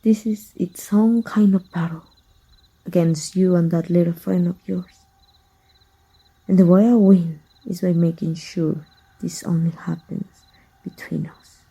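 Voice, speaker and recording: Woman playing a slow, husky, seductive villainess. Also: on the low side, steady tone, dark tone, exaggerated voice, poor audio. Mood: seductive, smug. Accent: Mexican. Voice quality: husky, breathy. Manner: slow, breathy, teasing murmur. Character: jealous, seductive female villain, possessive and teasing. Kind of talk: intimate ASMR roleplay acting.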